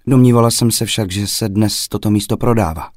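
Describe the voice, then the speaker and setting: A man voicing a timid, courteous young woman who speaks softly and politely. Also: lowish voice, dry recording, studio quality, lightly acted. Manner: soft and courteous.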